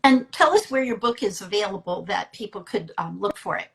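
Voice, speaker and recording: A woman's voice: medium pitch, lively intonation, a little fast, vocal fry, a little hiss or hum. Female host, mid-range voice, conversational promo interview, encouraging and explanatory. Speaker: interviewer and storyteller.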